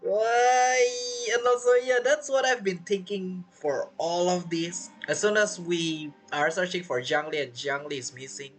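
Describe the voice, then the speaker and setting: A man's voice: sits high, fairly even intonation, a little slow, few pauses, soft background music. A man giving casual, informal gameplay commentary, emotionally involved in the story as he plays. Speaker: streamer playing a game.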